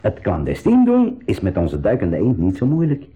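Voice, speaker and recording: A man's voice: lowish voice, huge pitch swings, normal speed, narrow, tinny audio. A man playing a courteous crew leader in a radio drama, speaking politely and hesitantly but firmly. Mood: calm, considerate. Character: courteous, firm uncle leading a submarine crew. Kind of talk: 1980s school radio drama acting.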